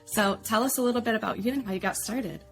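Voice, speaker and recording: A woman's voice: fairly high pitch, quick pace, breathy edge, soft background music. A woman co-hosting a podcast, delivering scripted introductions and questions to a guest. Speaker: podcast co-host.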